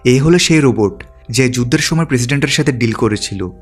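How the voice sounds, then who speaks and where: A man's voice: mid-range voice, animated, brisk. A man reading scripted narration that retells a film's story as a recap narrator. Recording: background music under the voice. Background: music.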